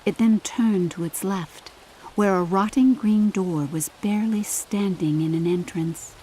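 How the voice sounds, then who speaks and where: A woman's voice: medium pitch, measured pace, dark tone, a little hiss or hum, rough recording. A woman reading a scripted, dramatic story as an audiobook narrator.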